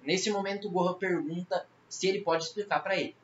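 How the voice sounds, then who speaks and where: High-voiced Brazilian man in an echoey room. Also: fairly even intonation, a little fast, a bit bright. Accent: Brazilian Portuguese. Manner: colloquial, slangy. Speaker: video creator recapping a fan horror series. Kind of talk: casual story recap narration. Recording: roomy echo, muffled, faint noise.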